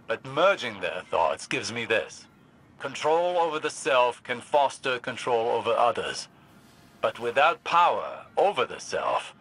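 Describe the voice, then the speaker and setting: Bright, husky male lore scholar. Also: mid-range voice, heavily acted, low-quality recording. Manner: measured, formal, philosophical delivery. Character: thoughtful male scholar of cosmic elements, measured and instructive. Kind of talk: scripted lore narration.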